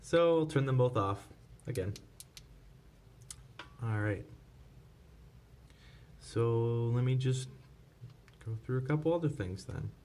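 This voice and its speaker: A man's voice: medium pitch, expressive, slow, pauses often, a little mellow. A man presenting a casual hands-on product tutorial, explaining informally step by step without a script. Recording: clear background noise.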